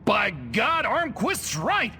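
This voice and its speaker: An actor playing an excitable, hawkish general in a video game, declaiming with loud indignation. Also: male voice, high voice, slow, creaky, exaggerated voice. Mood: indignant, rallying. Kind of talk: video game cutscene acting. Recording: reverberant hall, noisy, music behind.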